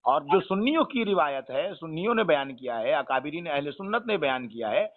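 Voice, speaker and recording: A man's voice: fairly high pitch, animated, a little fast. A man on a phone call, questioning and urging in an insistent, argumentative but respectful way. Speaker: caller. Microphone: telephone. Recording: narrow, tinny phone call.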